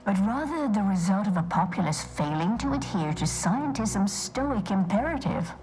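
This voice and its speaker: A woman's voice: medium pitch, lively intonation, moderate pace, music in the background, natural voice, rough recording. A woman playing a prim, pedantic scholar of a stoic order, speaking formally and precisely in a video game. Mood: prim, measured. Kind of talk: video game dialogue acting.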